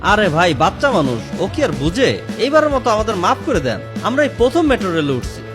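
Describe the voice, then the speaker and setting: A man voicing an excitable, argumentative man in a comic cartoon, speaking in colloquial exclamations. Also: high-pitched, brisk. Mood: pleading, exasperated. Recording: music behind the voice.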